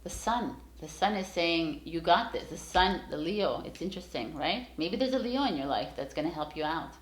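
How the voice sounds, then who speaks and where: A woman's voice: on the low side, expressive, normal speed, roomy sound, rough recording. A woman giving a casual, encouraging tarot reading straight to her viewers, rambling with many fillers and restarts. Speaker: tarot reader.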